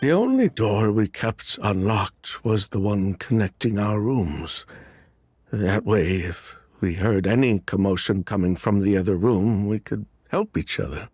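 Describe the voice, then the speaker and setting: Nervous nobleman, husky, slow, swings up and down in pitch, dry close sound. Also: expressive, a little mellow, no room sound. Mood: shaken. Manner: nervous, stammering, defensive. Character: frightened young nobleman hiding a secret. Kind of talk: game voice acting.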